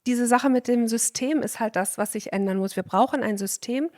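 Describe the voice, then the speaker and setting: An emphatic woman speaking as a doctor on a podcast, explaining at length in a relaxed, chatty way. Her voice is recorded dry and close, with almost no room sound. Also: a little high, normal speed, no room sound. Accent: German. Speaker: physician guest. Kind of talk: casual podcast conversation.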